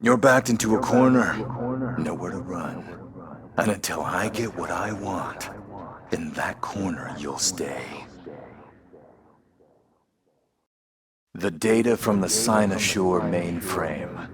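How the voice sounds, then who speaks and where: A man playing a ruthless, middle-aged militia warlord in a video game, with a rough husky voice and a commanding, threatening manner. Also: low voice, a little slow, a crowd in the background. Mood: cold menace. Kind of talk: scripted video game character lines.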